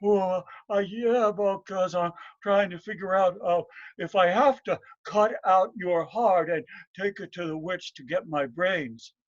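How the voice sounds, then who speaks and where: Folktale simpleton, young male villager played by a man: dim, earnest, halting, emotional; slightly lo-fi sound. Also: sits high. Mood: hesitant. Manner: halting, stammering, naive.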